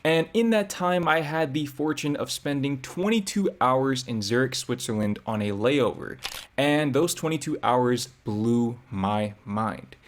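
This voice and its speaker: A man's voice: medium pitch, animated, measured pace. A man talking through his thoughts as a reaction vlogger, reflective and conversational. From United States. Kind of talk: casual commentary.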